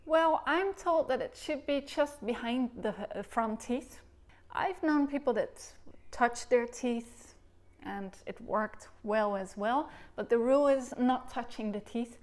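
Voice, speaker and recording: A woman in her thirties with a Dutch accent, teaching recorder technique from her sofa and sounding out the syllables slowly as she demonstrates. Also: a little high, a little mellow. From Netherlands. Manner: demonstrates syllables by singing and speaking them slowly. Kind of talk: instructional video lesson. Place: living room, seated on a sofa.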